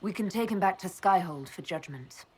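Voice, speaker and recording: A woman's voice: on the low side, expressive, moderate pace, background noise, lightly acted. An actress playing a composed, wry woman leader, the self-assured hero of a fantasy video game. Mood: dry, composed. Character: composed, wry female hero leading an inquisition.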